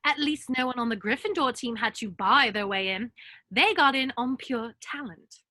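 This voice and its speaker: A woman's voice: a little high, moderate pace, bright, forceful tone, no echo. A woman playing a clever, earnest schoolgirl witch in an amateur table read, articulate and a little prim. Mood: indignant.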